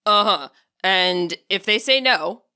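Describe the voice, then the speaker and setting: Woman doing a modest teenage boy, guarded, awkward, hesitant, understated, bright-toned, storybook character. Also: mid-range voice, animated, slow, clean tone. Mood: puzzled, quiet.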